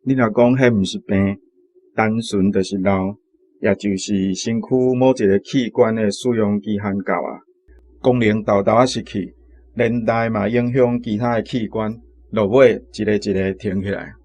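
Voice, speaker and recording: An actor with a Taiwanese accent playing a matter-of-fact doctor in a radio drama, explaining things plainly. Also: male voice, lowish voice, fairly even intonation, normal speed, darkish tone, natural voice.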